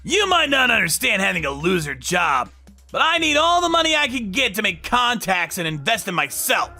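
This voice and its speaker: A man's voice: high voice, big pitch swings, moderate pace, creaky, pushed and bright, soft background music, pitched above the performer's own voice, exaggerated voice. A man voicing an arrogant, whining younger brother, an overexcited salesman, in a dramatized story. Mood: flustered. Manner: sneering, overexcited, pleading.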